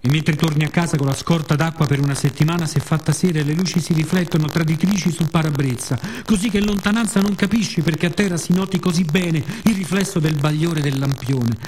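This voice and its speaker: A man performing an expressive, playful theatrical monologue for radio theatre. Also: fairly high pitch, fairly quick, hardly any pauses, a little hiss or hum. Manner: expressive, with playful spoken sound effects.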